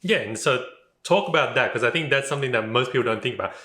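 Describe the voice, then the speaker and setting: A man's voice: medium pitch, lively intonation, quick pace, bright, forceful tone, reverberant. A man interviewing casually, rambling through his questions with lots of "like" and "right?".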